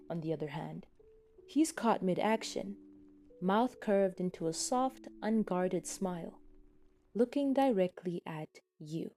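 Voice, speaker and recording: A woman reads scripted fiction as a podfic narrator. Her voice is mellow and relaxed, sitting at a middle pitch. Faint music plays behind her. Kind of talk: scripted story narration in second person. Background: soft background music.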